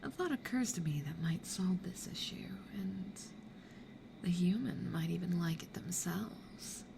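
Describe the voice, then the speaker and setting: A woman acts out a devious succubus for an ASMR roleplay. She is possessive and teasing, slipping into whispers, with a slow, low-pitched delivery over clear background noise. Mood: persuasive, sly.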